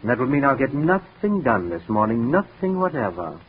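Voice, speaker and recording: An actor playing a brooding, restless composer brother in a formal, theatrical 1940s radio drama style, on a muffled old recording. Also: male voice, medium pitch, big pitch swings, normal speed, slightly rough. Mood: fretful.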